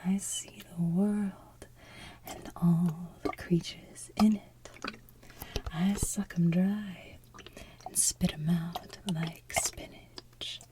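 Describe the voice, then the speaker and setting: Woman singing as slow, gloating sludge monster villain. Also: lowish voice, steady tone, whispered, voice pushed down. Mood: gloating, sinister. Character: gross evil male pollution sludge monster, singing villain song. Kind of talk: soft ASMR singing.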